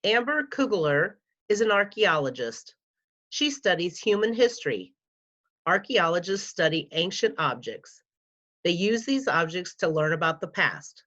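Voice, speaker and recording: A woman with a fairly low voice reads aloud to young pupils like a school librarian. Her delivery is careful and clearly scripted for children. The recording sounds somewhat dull and lo-fi. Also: big pitch swings, a little slow, a bit bright. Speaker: school media specialist reading an article aloud to pupils.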